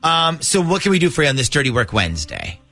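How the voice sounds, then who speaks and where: An animated man hosting a morning radio show, with a slightly high voice that swings around a lot. Faint music can sit behind his lively banter. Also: fast talker.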